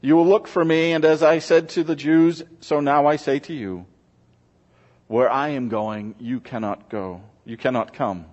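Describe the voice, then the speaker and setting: A man speaking as a pastor, reading scripture to a congregation in a measured, solemn church delivery. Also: medium pitch, steady tone, a little mellow. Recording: muffled, reverberant large-room recording.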